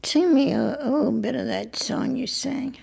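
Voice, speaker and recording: An actress playing a blunt, worldly older married woman in an intimate audio drama, with a husky, creaky voice and a wry, halting manner. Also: high-pitched, animated, darkish tone, heavily acted. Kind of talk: intimate two-person audio drama acting.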